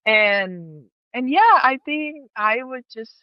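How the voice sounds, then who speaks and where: A woman's voice: a little high, animated, slow pace, clear, resonant tone, no echo, lo-fi sound. A woman from Thailand with a Thai accent, telling personal stories casually as an interview guest in her second language.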